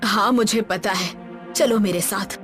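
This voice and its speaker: A woman's voice: medium pitch, unhurried, faint music behind, lightly acted. A woman voicing a protective, fearful old village grandmother in a horror story, with a solemn maternal way of telling. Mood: fearful, resigned.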